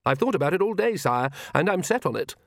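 Man voicing a hesitant, overly polite priest, pitched up. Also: very animated, no echo, studio quality. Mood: awkward, resolute. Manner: hesitant, deferential, halting with ums. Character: hesitant, earnest, politely cheerful male priest. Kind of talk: audiobook character dialogue.

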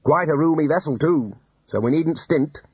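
A man's voice: fairly high pitch, moderate pace, a little mellow, husky, dry recording, voice pushed up. A man reading aloud and voicing a pompous, pedantic older male wizard. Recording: dull lo-fi audiobook recording.

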